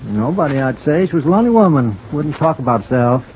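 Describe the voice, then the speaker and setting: A man's voice: fairly high pitch, sing-song, fast talker, darkish tone. An actor playing a folksy, nosy, laconic country man who runs a convalescent home, in a 1950s radio drama. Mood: grudging, gossipy. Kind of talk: 1950s radio character acting. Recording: dull, noisy vintage radio recording.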